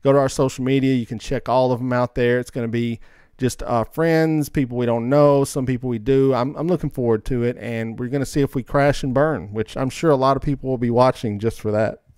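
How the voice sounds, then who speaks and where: A male podcast host with a clear, smooth voice talks casually and loosely through his announcements. He rambles in an informal, buddy-like way, and the sound is dry and close. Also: medium pitch, steady tone, a little fast, darkish tone, no echo. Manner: informal, rambling, says man a lot. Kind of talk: casual chat, show announcements.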